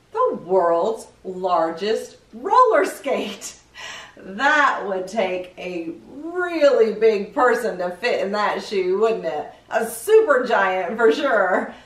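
Slow, lilting middle-aged woman, roomy sound. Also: fairly high pitch. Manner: playful, asking the viewers questions. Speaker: children's story show host. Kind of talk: chatty presenting to young children. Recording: roomy echo, distant mic.

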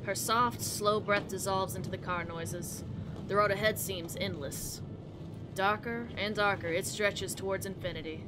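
A woman reading as a pensive, philosophical taxi driver narrating a visual novel in a measured, solemn way. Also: medium pitch, fairly even intonation, noisy background, natural voice. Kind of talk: visual novel read-aloud, scripted narration.

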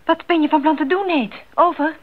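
A woman's voice: high voice, brisk. An actress playing a caring, capable woman who runs a mission post radio in a radio drama. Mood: businesslike concern. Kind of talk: 1980s Dutch radio drama acting. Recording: narrow tinny radio sound.

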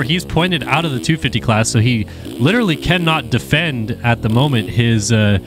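Man commentating, a bit nasal. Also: medium pitch. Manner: casual, talkative, frequent fillers. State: slightly nasal from a cold. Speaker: race commentator. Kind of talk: live sim racing commentary.

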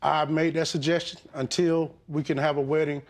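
Hesitant middle-aged man, higher voice. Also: level tone. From US South. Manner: halting, hesitant, many "um"s, respectful. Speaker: litigant. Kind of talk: courtroom testimony. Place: TV courtroom set.

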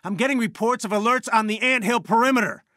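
A man's voice: medium pitch, moderate pace, no echo, studio quality, close to an everyday voice. Man acting an earnest, urgent resistance leader. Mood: urgent, strained. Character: earnest male scientist leading a resistance, urgent, weary. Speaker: game character giving mission briefings. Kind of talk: video game mission dialogue.

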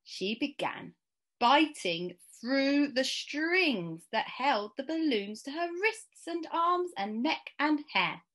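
A woman in her thirties reading a children's story aloud, deliberately and with dramatic pauses. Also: high-pitched, lively intonation, fairly bright tone. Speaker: school staff member reading aloud. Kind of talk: expressive children's storybook narration. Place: small indoor room at home.

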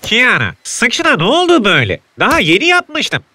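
A man voicing a flamboyant, excitable hairdresser uncle in a children's cartoon, fussy and exclamatory. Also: extremely high pitch, normal speed, background noise, heavily acted. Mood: excited. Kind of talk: animated children's cartoon dub.

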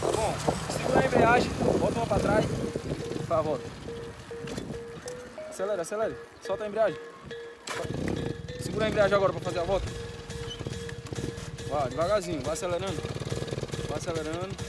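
A young adult man with a Northeastern Brazilian accent, talking in slangy, excitable vlog style with short repeated commands, outdoors on a phone. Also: high voice, a little fast, long pauses. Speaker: motovlogger. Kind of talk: casual vlog chat and riding instructions. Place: outdoors on an empty street by a motorbike. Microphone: phone at arm's length. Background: music, motorbike engine.

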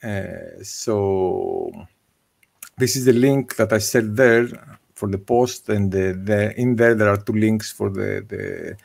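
Man, 30s, video-call meetup organizer; slow, halting, gravelly delivery. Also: lowish voice, a little mellow. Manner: hesitant, many filler uhs. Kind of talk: casual video call discussion. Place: home room with bookshelves. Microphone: desk microphone on video call.

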